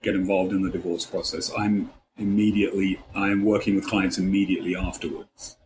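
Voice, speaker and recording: A man giving measured, articulate interview answers as a guest financial planner, with somewhat formal wording. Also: sits low, level tone, a little mellow, slight background noise, poor audio.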